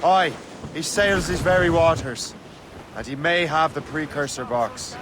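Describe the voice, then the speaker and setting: An actor playing a cheeky, roguish young Irish sea captain in a video game cutscene, teasing and breezy. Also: male voice, a little high, unhurried, lightly acted. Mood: confident. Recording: clear sea and ship ambience behind. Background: waves, wind and ship sounds.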